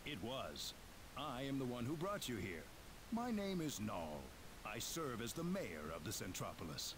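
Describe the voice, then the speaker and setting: A man playing a calm, courteous mayor in a video game, speaking formally and in a measured way over game music. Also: mid-range voice, background noise. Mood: calm, solemn. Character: calm, courteous male mayor, a mysterious guide. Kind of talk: scripted video game voice acting.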